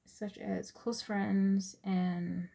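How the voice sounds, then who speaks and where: A woman in her twenties with an American accent, giving a prepared class presentation in a measured, point-by-point manner. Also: medium pitch, flat delivery, creaky, dark tone. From US Virgin Islands and Texas, USA. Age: 20s. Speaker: student presenter.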